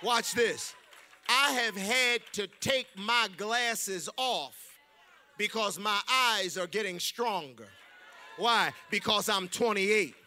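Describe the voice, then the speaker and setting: A man preaching a sermon in a church, emphatic and loud, mixing humor with exhortation. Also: sits high, slow, a faint crowd, slight background noise. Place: church sanctuary.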